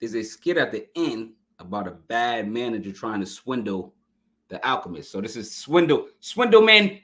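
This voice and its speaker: Hyped podcast host with a scratchy voice. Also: male voice, mid-range voice, a bit bright. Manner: hyped, slangy, talks straight to viewers. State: scratchy voice this morning. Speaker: podcast host reviewing an album. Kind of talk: casual track-by-track review. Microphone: live stream podcast mic.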